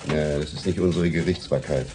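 Very low-voiced, self-assured activist. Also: male voice, fairly even intonation, a little fast, background noise. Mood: cool, matter-of-fact. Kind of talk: naturalistic radio drama dialogue.

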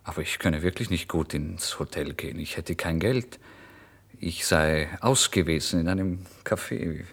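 A man's voice: sits low, moderate pace, creaky, breathy edge, heavily acted. An actor playing a starving, impulsive male writer in a theatrical, changeable first-person literary monologue for radio. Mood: pleading, sheepish. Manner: theatrical, swinging from exclamations to hushed whispers. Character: starving, impulsive male writer recounting his misery and brazen lies.

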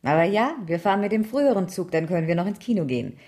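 A composed, kindly woman in a 1970s radio crime drama. Her voice is fairly low. She talks in a gentle, measured way with a light wryness. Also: very animated. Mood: warm, playful. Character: composed, kindly actress, stepmotherly to a boy.

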